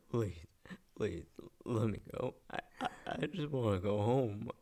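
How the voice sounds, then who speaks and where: A man's voice: fairly low pitch, lively intonation, slow pace, mellow. A man playing a distressed young test subject in an ASMR roleplay, stammering and pleading in panic. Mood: terrified, pleading. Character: terrified captive test subject, young man in late twenties.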